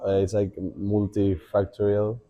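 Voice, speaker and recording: A young man with an Argentine Spanish accent, Spanish as his first language, talking as a podcast guest in a thoughtful way, pausing to think and often restarting his sentences. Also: lowish voice, completely flat delivery, dark tone. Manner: reflective, thinking aloud with many fillers and restarts. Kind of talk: casual podcast conversation.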